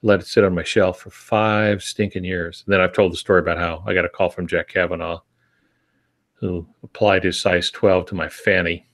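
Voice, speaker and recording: Older male podcast co-host, slightly low voice, casual advice, folksy, dryly self-mocking. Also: level tone, moderate pace, a bit bright. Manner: wry, self-deprecating, folksy. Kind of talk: casual podcast talk giving writing advice.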